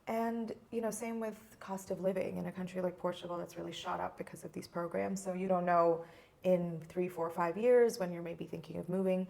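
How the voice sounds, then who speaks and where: Low female voice, vocal fry, casual interview guest, from India. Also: fairly even intonation, brisk, a little mellow.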